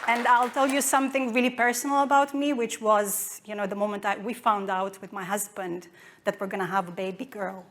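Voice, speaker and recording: A woman's voice: mid-range voice, steady tone, a little fast, a faint crowd. A woman in her thirties from Romania with a Romanian accent, giving a conference talk with many fillers and restarts. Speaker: conference speaker.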